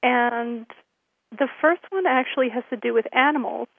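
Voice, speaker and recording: A woman hosting a radio show, hoarse from a cold, giving friendly announcements with many fillers. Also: on the high side, moderate pace, no room sound. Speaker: host. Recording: thin phone-line sound.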